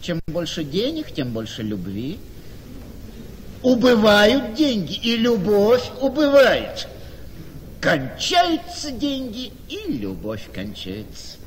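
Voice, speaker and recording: An actor playing a cynical, mocking gentleman in a radio play, with a deliberate, sardonic, theatrical delivery. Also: high voice, wildly swooping pitch, full character voice. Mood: sardonic, lecturing. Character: cynical, mocking gentleman needling a friend's young wife. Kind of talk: Russian classic radio play acting. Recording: reverberant hall-like studio, faint noise.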